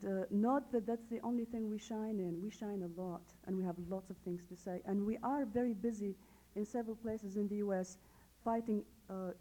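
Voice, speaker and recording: Dark-voiced woman, wry. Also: mid-range voice, rough recording. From Tunisia. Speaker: conference attendee. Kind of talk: comment from the floor.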